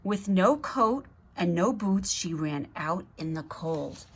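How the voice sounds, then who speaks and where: A woman's voice: fairly low pitch, lively intonation, slow pace, a little hiss or hum. A woman reading a rhyming children's story aloud expressively, with chatty asides to young listeners. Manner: rhythmic, dramatic, addressing children. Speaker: guest storybook reader.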